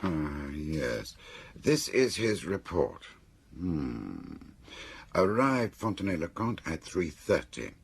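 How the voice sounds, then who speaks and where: A reflective confidant in a radio drama, gently probing with questions. His voice is very low and gravelly, with a husky edge and expressive swings in tone. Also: slow, slight background noise, full character voice. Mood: thoughtful, questioning. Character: thoughtful, questioning confidant hearing out a detective friend.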